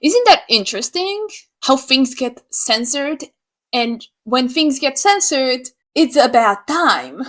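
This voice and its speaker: Young woman from Slovakia, English, high voice, sarcastic, animated, swears casually. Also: slow pace. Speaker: YouTuber. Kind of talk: opinion rant to camera.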